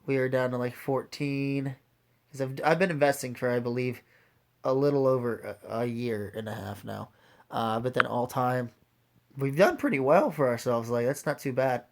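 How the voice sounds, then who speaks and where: Raspy man talking casually through finances. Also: mid-range voice, moderate pace, slight background noise. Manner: informal, addresses viewers directly. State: recovering from a lost voice, occasional throat clearing. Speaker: host of an investing video series. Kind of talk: casual unscripted portfolio walkthrough.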